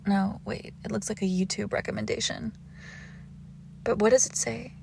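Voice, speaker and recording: Woman acting a teasing, haughty young vampire, slightly creaky. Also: medium pitch, steady tone, normal speed, darkish tone, a little hiss or hum. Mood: playful, smug. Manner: teasing, coy, entitled. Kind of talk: intimate roleplay audio acting.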